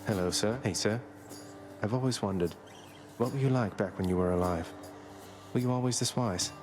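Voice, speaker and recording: An actor playing a young prince of the underworld who talks in a dry, good-humored and polite way. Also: male voice, fairly low pitch, normal speed, lightly acted. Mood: friendly. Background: loud game music.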